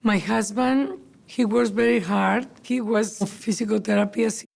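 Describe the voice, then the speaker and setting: A Colombian woman with a Spanish accent, a mother speaking halting, simple English in a documentary interview. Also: medium pitch, vocal fry. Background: soft background music.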